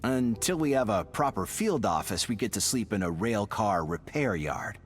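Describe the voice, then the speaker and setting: An actor voicing a wry, joking squad agent in a video game, with dry humor. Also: male voice, fairly low pitch, moderate pace, natural voice. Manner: dry humor, lively delivery.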